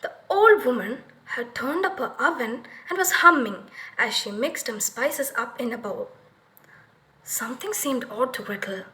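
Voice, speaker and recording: A woman narrating a children's story expressively, with dramatic, deliberate pauses. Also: a little high, fairly bright tone, poor audio.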